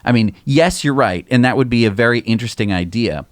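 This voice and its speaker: Mid-pitched man, dry podcast mic. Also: fairly quick, dry recording. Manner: agreeable, thoughtful. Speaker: podcast co-host. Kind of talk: casual podcast chat.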